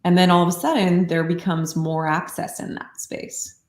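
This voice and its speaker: A woman explaining meditation practice in a calm, unhurried way, often saying "like", in casual podcast conversation. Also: on the low side, echoey room. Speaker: meditation teacher.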